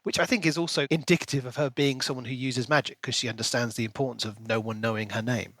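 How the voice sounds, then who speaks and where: A man's voice: medium pitch, steady tone, fast talker, fairly bright tone, no echo. A man co-hosting a podcast, talking through a book in an articulate, reflective, conversational way. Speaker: podcast co-host. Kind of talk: book discussion, casual analytical chat.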